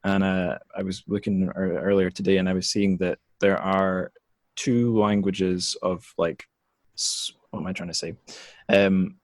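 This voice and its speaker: A man hosting a podcast interview, his voice very low and the recording dry with no room sound. He talks casually, full of ums and restarts as he gropes for his question. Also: little pitch movement, measured pace, dry recording. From lived in China. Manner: rambling, many fillers and restarts. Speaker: podcast host.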